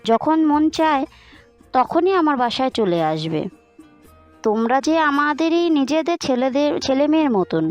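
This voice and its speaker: A woman's voice: sits high, animated, normal speed, dull audio, soft background music. A woman playing a hospitable, caring middle-aged mother in an audio story drama. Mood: welcoming.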